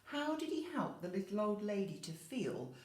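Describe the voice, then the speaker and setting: Animated woman reading a story, echoey room. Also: mid-range voice, normal speed. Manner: expressive, explaining and questioning as if to small children. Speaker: infant school teacher reading a story. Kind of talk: animated children's storytelling with asides.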